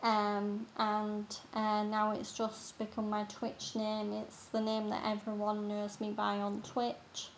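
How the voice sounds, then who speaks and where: A woman's voice: medium pitch, monotone, takes it slowly, hardly any pauses, a little hiss or hum, poor audio. Chatty young English woman streamer. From England. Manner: chatty, many ums and uhs. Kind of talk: casual live-stream chat. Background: game music.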